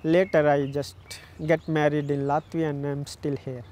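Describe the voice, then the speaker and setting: A man from northern India talks about his life in simple, halting sentences. His voice sits slightly high for a man. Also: level tone, darkish tone. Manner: plain, halting phrasing. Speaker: interviewee. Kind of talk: casual interview talk.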